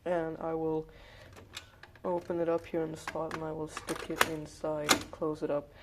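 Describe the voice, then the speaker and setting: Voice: mid-range voice, completely flat delivery, normal speed, heavy background noise. A hobbyist presenter giving a casual hands-on demonstration, explaining things informally step by step.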